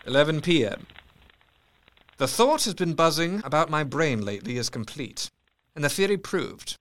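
A man's voice: a little high, sing-song, normal speed, a bit bright. A man playing an earnest Victorian asylum doctor who narrates his diary in a formal, brooding, intense way. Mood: fascinated, excited. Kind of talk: dramatic first-person diary narration.

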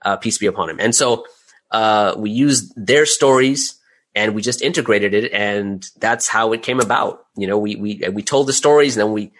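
A man's voice: lowish voice, normal speed, fairly bright tone. A middle-aged man speaking casually as an interview guest, candid and self-deprecating about his own work as a creator. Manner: candid, self-deprecating, chuckles while talking.

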